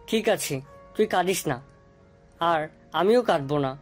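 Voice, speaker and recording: A man's voice: on the high side, lively intonation, measured pace, faint music behind. A man playing a tender, middle-aged widowed father in an audio story drama. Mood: gently soothing. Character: tender, grieving widowed father of a grown daughter.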